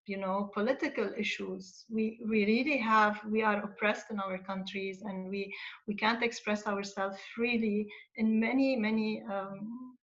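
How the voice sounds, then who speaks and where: A woman from Lebanon speaking earnestly on a panel, her voice at a middle pitch. The video call audio is dull and lo-fi, and she pauses often with fillers as she explains her points. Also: steady tone, a bit bright. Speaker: children's author on a panel. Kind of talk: online panel discussion.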